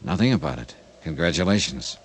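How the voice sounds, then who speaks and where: An actor playing a cool, persistent insurance investigator in a 1950s radio drama, with an American accent and a wry, unflappable way of probing. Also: male voice, very deep voice, very animated, brisk, slight background noise. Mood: tough, firm. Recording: old radio broadcast recording.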